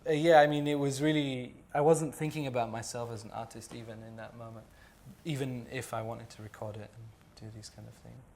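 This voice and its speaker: A man's voice: mid-range voice, fairly even intonation, fairly quick, creaky, hardly any pauses, a little mellow, a little hiss or hum. A man from Jordan speaking at a panel talk, reflective and hesitant, with many fillers and restarts.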